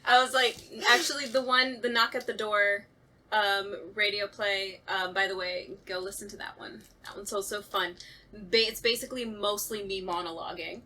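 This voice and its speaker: A woman's voice: mid-range voice, steady tone, normal speed, pushed and bright. A woman voice actor chatting casually on a podcast in a blunt, playful, sweary manner. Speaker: voice actor discussing own performance. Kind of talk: casual podcast talkback chat.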